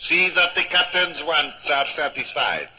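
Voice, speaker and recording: A man's voice: on the high side, very animated, unhurried. A man playing a cruel, imperious mad tyrant in a 1950s radio drama, with a haughty, commanding manner. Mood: haughty, cold. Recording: dull, noisy old radio recording.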